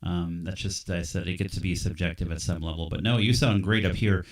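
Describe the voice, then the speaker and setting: Man, very deep voice, ham radio operator, relaxed on-air chat. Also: a little fast. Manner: relaxed, explains his audio settings. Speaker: ham radio operator hosting a live stream. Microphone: studio microphone.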